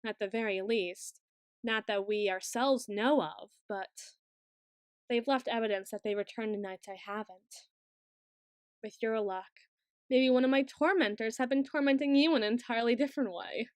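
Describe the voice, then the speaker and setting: Female actor for awkward, protective student girl in ASMR roleplay: higher pitch, formal intellectual phrasing, hesitant, very dry close sound. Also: normal speed, no echo, close to an everyday voice. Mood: defensive, detached. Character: awkward, bookish young woman student, secretly obsessive, protective.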